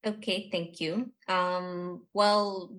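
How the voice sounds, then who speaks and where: A woman's voice: on the low side, steady tone, extremely slow, a bit bright. A woman from Romania, talking reflectively and hesitantly as a guest on a podcast. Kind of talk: reflective personal talk.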